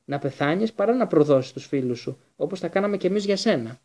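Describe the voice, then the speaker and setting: Casting: male narrator as vengeful wizard, fierce growl, husky, accusing, emphatic, storybook reading. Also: fairly high pitch, expressive, warm tone. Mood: furious.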